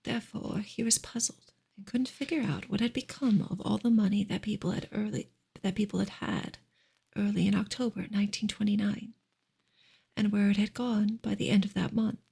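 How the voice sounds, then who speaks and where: A woman reads narrative prose in a hushed ASMR style. Her whisper is extremely breathy and airy, with a strong crackly, fried grain. The delivery is gentle, measured and unhurried. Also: medium pitch, fairly even intonation. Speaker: ASMR reader of a classic novel. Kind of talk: whispered ASMR audiobook reading.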